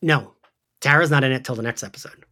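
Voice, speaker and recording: A man co-hosting a podcast, talking in an excitable, chatty way about something he is a fan of. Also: mid-range voice, fast. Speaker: podcast co-host. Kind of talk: casual fan discussion.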